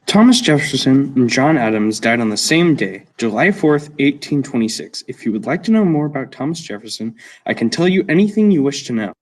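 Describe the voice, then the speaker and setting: Student acting as a pompous talking mole rat, swooping pitch. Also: lively intonation, brisk, few pauses, far from the mic, close to an everyday voice, rough recording. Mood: pompous, courteous. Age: teenager. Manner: pompous, formal, precise. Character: haughty talking naked mole rat, know-it-all lab creature. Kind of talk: school radio play acting.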